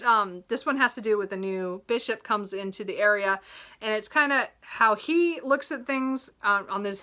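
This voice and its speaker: Casting: woman book vlogger, bright mid-range voice, informal and rambling, apologetic self-corrections, prone to giggles. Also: mid-range voice. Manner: giggles often while talking. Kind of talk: casual, chatty talk about a reading list.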